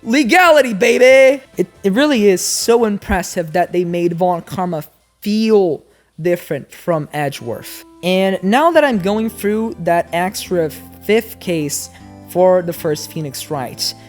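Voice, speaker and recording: A woman giving casual, informal video essay commentary on games, speaking in an animated, emphatic way. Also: fairly low pitch, unhurried, faint music behind.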